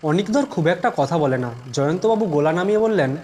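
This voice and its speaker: A man telling a scripted first-person suspense story in a measured, dramatic way, with music behind him. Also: fairly high pitch, lively intonation, darkish tone.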